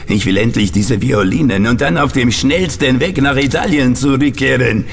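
Menacing audio-drama villain, scornful, demanding, creaky voice, long hall reverb. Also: male voice, mid-range voice, moderate pace. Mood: exasperated. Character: impatient, menacing male villain holding hostages at gunpoint. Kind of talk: German audio drama acting. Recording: reverberant hall, faint noise.

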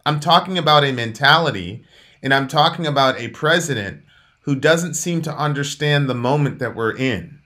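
A man in his thirties, originally from Jamaica, co-hosting and arguing politics heatedly over a video call from a dark room at home, talking emphatically and gesturing. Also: medium pitch, lively intonation, normal speed. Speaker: co-host.

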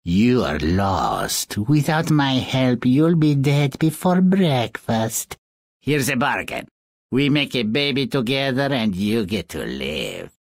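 An actor voicing a cruel, bargaining imp in a video game, raspy and theatrical. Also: medium pitch, very animated, takes it slowly, dry recording, studio quality, heavily acted. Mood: menacing.

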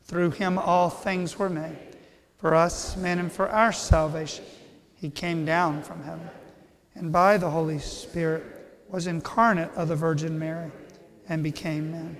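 Man reciting prayers in a reverberant church. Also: a little high. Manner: steady measured recitation. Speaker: priest. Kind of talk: leading liturgical prayer. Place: large church.

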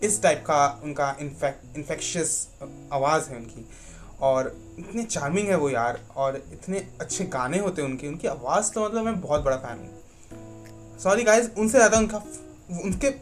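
Excited young man. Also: on the high side, soft background music. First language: Bengali. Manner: excited, chatty, rambling, self-correcting in halting Hindi. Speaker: YouTube reaction channel host. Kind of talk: casual music reaction vlog. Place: small room indoors.

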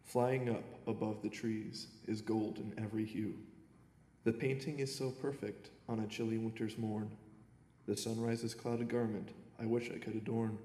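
A young man with a fairly low voice presents poems at a podium microphone. His manner is informal and a bit hesitant. The auditorium adds a long echo, with some faint background noise. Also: mellow. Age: young adult. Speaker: poet reading own poems. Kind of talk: live poetry reading with informal introductions. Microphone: gooseneck podium microphone.

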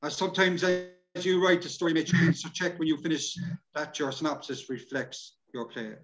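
A man's voice: a little high, normal speed, lo-fi sound. A middle-aged man with an Irish accent presents a workshop informally and colloquially over a video call, repeating himself. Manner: colloquial, repetitive, addresses listeners as lads. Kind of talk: informal presentation, partly read from slides.

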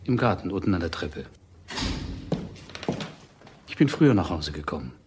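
Low-voiced cornered widower. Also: male voice, big pitch swings, moderate pace, darkish tone, a little hiss or hum. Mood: quietly accusing. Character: cornered widower, bitter, evasive, confessing suspect. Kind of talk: crime radio drama acting.

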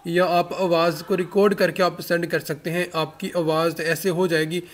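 A man's voice: on the high side, level tone, normal speed. A man hosting a tech tutorial, explaining an app step by step and addressing viewers directly in an instructional way.

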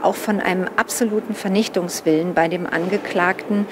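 A woman's voice: fairly low pitch, steady tone, brisk. A woman in her thirties formally summarising a court verdict in a noisy public building. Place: large courthouse hall.

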